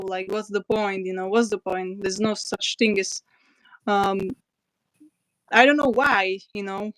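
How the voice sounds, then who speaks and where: A woman's voice: mid-range voice, clean tone, no room sound. A woman from Slovakia with a Slovak accent, hosting a casual livestream and reading out viewer comments, hesitant with many fillers. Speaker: livestream host.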